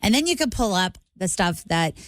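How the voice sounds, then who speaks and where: A woman's voice: medium pitch, sing-song, normal speed, no echo, studio quality. A woman co-hosting a morning radio show, upbeat and chatty, giggling often. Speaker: radio co-host. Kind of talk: casual radio banter.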